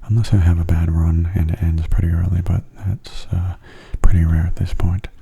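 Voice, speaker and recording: A man giving soft-spoken ASMR gameplay commentary in a hushed, breathy, unhurried and casual way, close to the microphone. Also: flat delivery. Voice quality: breathy, husky low voice. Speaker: gaming ASMR video host. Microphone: close mono microphone.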